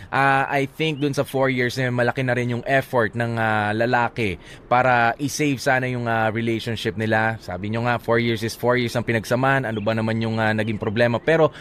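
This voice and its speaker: A man's voice: mid-range voice, steady tone, moderate pace, fairly bright tone. A man with a Filipino accent co-hosting a radio show in a conversational style. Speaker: radio DJ co-host. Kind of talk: conversational advice and banter.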